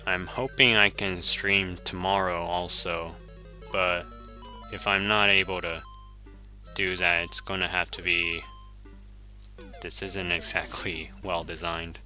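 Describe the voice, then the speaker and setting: Deep-voiced, unhurried male game streamer. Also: steady tone, pushed and bright, soft background music. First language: Japanese. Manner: easygoing, chuckles often, self-correcting. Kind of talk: casual gameplay commentary, reading chat.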